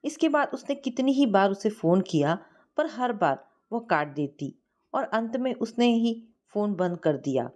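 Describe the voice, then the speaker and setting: Woman narrator for sentimental fiction: mid-range voice, expressive delivery with plenty of melodic movement. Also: medium pitch, a little slow. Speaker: storyteller. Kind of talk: scripted story narration.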